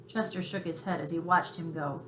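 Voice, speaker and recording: A woman reading a children's story aloud as narrator, with expressive scripted delivery. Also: fairly low pitch, a little fast. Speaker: story reader. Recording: roomy echo, faint background noise.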